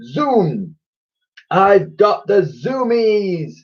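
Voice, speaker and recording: A man's voice: high voice, animated, moderate pace, highs cut off, voice pushed up. A man voicing a cheeky, eager young boy in a children's storybook reading. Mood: cheeky delight. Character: cheeky, polite young boy, keen and mischievous.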